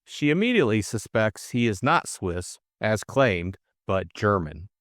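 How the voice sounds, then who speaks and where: A man narrates an audiobook from a script. His pitch moves in a lively, expressive way across each sentence. The recording is very dry and close, with little room sound. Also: a little slow, no room sound.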